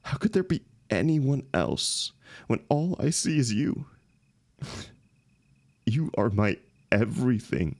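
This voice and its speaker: A man acting a lovelorn young heartbreaker in an intimate, emotional audio roleplay, speaking haltingly and rawly to a longtime friend. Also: medium pitch, big pitch swings, a little mellow, no room sound. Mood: desperate, heartbroken. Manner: halting, stammering, swearing, emotionally raw.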